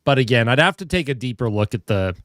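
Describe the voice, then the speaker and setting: A man's voice: medium pitch, very animated, fast talker, a bit bright, dry recording, clean studio sound. A young man with a head cold and a stuffed-up voice, chatting casually on a hockey live stream, greeting viewers and reading their comments. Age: young adult. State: a little tired.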